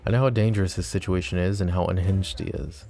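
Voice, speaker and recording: Casting: a man to play a scheming, possessive yandere mafia boss for ASMR roleplay; dark, relaxed low voice, smooth and teasing. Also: quick pace, faint music behind. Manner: smooth, teasing, mock-polite. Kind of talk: intimate ASMR roleplay acting.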